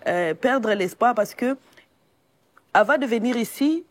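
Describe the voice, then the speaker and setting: A young woman from the Democratic Republic of Congo, sharing a personal testimony as a guest in a quiet, halting, pausing way. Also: a little high, animated. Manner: soft, halting, pausing often. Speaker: guest evangelist. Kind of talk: personal testimony in a faith talk show.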